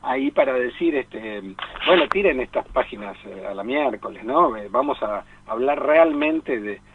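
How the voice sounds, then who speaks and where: A man's voice: medium pitch, animated, narrow, tinny audio, noisy background. A man with an Argentine Spanish accent giving conversational, digressive film commentary on the radio, often stopping to seek agreement. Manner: digressive, halting, often seeking agreement with tag questions. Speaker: radio show team member and film columnist.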